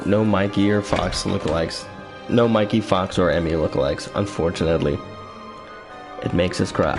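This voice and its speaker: A man casually commenting while playing a video game, joking and chatty, with game music behind him. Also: low voice. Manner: joking, self-mocking, chatty. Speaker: streamer. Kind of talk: casual let's-play commentary.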